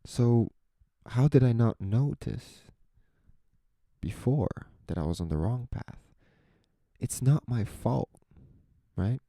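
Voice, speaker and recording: A young man with a soft, soothing voice, talking slowly and reflectively into a close microphone in a solo podcast. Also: mid-range voice, expressive, no room sound. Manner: slow, introspective, rambling. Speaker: podcast host.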